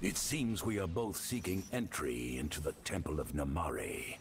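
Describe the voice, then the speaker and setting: An actor playing a stern, resolute warrior adventurer in a video game, grave, purposeful and formal. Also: male voice, a bass voice, big pitch swings, moderate pace, slight background noise, exaggerated voice.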